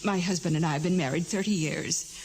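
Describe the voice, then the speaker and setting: An actress playing an anxious, genteel middle-aged wealthy wife in a 1940s radio drama. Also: female voice, fairly low pitch, a little fast, a little mellow, lightly acted, rough recording. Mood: worried, earnest. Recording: old radio recording with faint noise.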